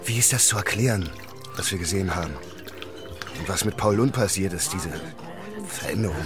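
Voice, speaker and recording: An actor playing a brisk, commanding male police commissioner in a radio drama, curt and authoritative. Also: low voice, over loud music, natural voice. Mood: subdued. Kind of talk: German radio drama acting.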